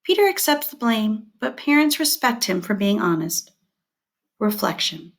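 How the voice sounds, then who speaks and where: A woman teacher reading scripted instructional material aloud, setting out headings and short points in a measured, deliberate way. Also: medium pitch, very animated.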